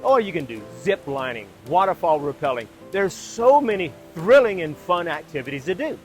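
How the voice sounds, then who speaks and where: A man presenting a video as host, in a scripted, emphatic style with deliberate pauses. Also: on the high side, very animated, faint music behind.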